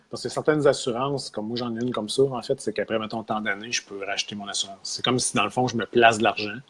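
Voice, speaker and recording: Man, Québécois French accent, husky voice, fast talker, hesitant co-host. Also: mid-range voice, fairly even intonation, a bit bright. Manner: hesitant, searching for words, many fillers. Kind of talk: casual discussion.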